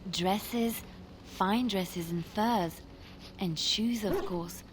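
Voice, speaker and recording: An actress playing a gracious, wistful young bard in a video game, speaking in a lyrical storytelling way. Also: female voice, medium pitch, extremely slow, noisy background. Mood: wistful, dreamy. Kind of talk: video game dialogue acting.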